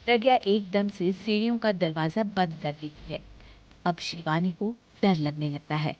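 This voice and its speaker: A woman's voice: lowish voice, a little slow, darkish tone, lo-fi sound. A woman reading a scripted narration for a cartoon family story.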